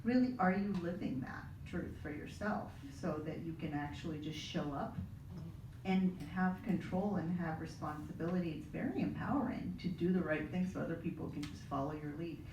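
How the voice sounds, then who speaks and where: A woman panelist speaking in an animated way, acting out quick asides as she talks. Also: lowish voice, background noise. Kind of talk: panel discussion. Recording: echoey room audio from far off.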